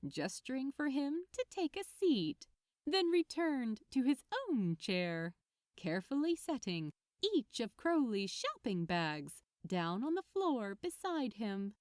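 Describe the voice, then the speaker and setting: A woman's voice: on the high side, dry recording, studio quality. A woman narrating fiction expressively as an audiobook reader, reading from a script.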